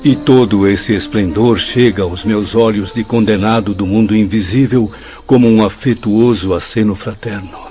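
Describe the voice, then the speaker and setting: Radio drama part: humbled scholarly soul male actor, low, reverent and declamatory, slightly muffled recording. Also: fairly even intonation, moderate pace, warm tone, soft background music, close to an everyday voice. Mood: awed, humble. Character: humbled, awestruck condemned spirit, a scholarly professor. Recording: dull lo-fi audio.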